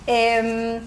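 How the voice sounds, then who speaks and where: Animated woman telling family stories. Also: a little high, a little hiss or hum. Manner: animated, laughs often, restarts words. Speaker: guest, association founder. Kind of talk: casual interview storytelling.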